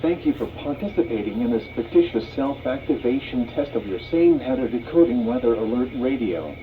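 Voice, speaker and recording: A man's voice: mid-range voice, animated, fairly quick, phone-quality sound, slight background noise. A man's synthetic voice reading formal scripted emergency announcements through a weather radio speaker.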